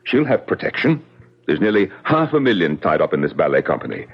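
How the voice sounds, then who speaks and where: Middle-aged ballet boss, deep husky voice, formal and dismissive, theatrical radio acting, dull vintage broadcast sound. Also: male voice, very animated, brisk, exaggerated voice. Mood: dismissive, firm. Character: blustering, courtly, hard-headed ballet impresario. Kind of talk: 1970s radio drama acting. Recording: muffled 1970s radio broadcast.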